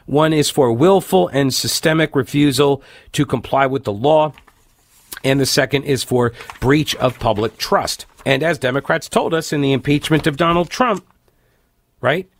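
Man, radio host with a cold: raspy, stuffed-up, sarcastic commentary; close dry studio mic. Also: fairly high pitch, dry recording. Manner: sarcastic, opinionated, reads news aloud with asides. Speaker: radio talk show host. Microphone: studio microphone, dry.

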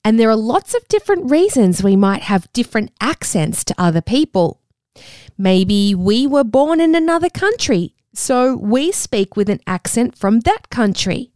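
Australian woman, kids' podcast host, bouncy and expressive pitch, bone-dry studio sound, speaks right to the children. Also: a little slow, no room sound. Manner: talks directly to young listeners, upbeat and encouraging. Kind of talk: scripted kids' show presenting.

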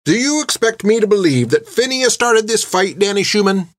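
A man voicing a strict elderly nun who runs an orphanage, speaking in a sharp, commanding, scolding way. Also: high voice, animated, moderate pace, dry recording, clean studio sound, pitched above the performer's own voice.

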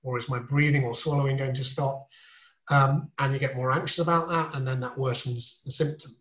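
A man explaining throat complaints to a podcast host in a measured, reassuring way, with small restarts as he talks. He sounds like a seasoned specialist. The sound is roomy and a little dull. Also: mid-range voice, fairly even intonation, a bit bright. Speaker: ear, nose and throat specialist guest. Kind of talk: podcast interview, explaining medical topics.

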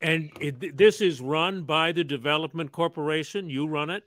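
A man hosting an interview, asking brisk prompting questions. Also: on the high side, a bit bright. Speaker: interview host.

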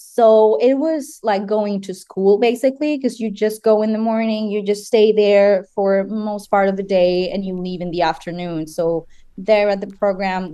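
A woman in her twenties from Chile, a guest in an interview, speaking earnestly with halting restarts. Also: medium pitch, mellow, clear, resonant tone, dry recording. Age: 20s.

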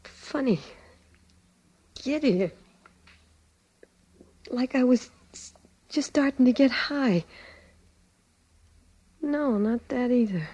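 A woman's voice: high voice, animated, extremely slow, long pauses, warm tone, no echo, heavily acted. An American woman playing a hard, bitter, gun-wielding dame in a 1940s radio drama, with tough, slangy speech. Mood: dazed, softened.

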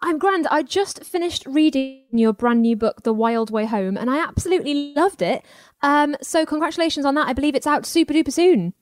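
A woman's voice: high-pitched, fairly quick, dry recording, studio quality, faint music behind. A woman interviewing an author in a chatty, upbeat way. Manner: chatty, upbeat, laughs along. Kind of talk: interview questions.

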